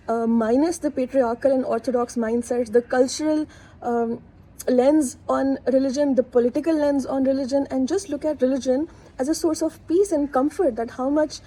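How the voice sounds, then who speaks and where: A woman's voice: high voice, dark tone, husky, rough recording. A young woman whose first language is Urdu, talking candidly and casually to camera, with plenty of fillers and asides. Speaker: vlogger. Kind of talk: casual reflective monologue to camera.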